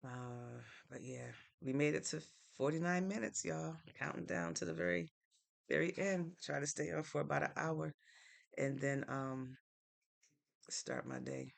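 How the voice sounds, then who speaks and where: A woman's voice: low voice, steady tone, unhurried, gravelly. A woman from Connecticut in the United States hosting a live stream, chatting casually and answering viewer comments in an easygoing, thinking-aloud way. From Norwalk, Connecticut, United States.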